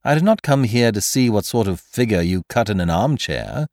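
Man voicing an excitable, taunting gentleman thief, swooping pitch. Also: no room sound, clean studio sound. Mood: taunting, impatient. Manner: theatrical, taunting, rapid questioning. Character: triumphant, mocking gentleman thief, excitable and commanding. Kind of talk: dramatised audiobook character voice.

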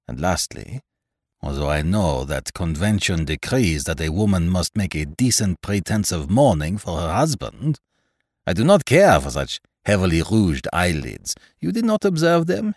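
A man voicing a shrewd, self-assured detective in a mystery audiobook, brisk, precise and gently chiding. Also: low voice, no echo, clean studio sound, full character voice. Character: shrewd, self-assured, fussy male detective, calmly superior. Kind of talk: dramatised audiobook reading of a mystery story.

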